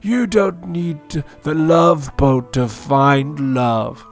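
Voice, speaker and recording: Guy doing a dotty old wizard, kinda high voice, slow, forgetful and pompous, comedy story. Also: fairly even intonation, gravelly, soft background music. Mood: breezy. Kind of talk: parody audio story.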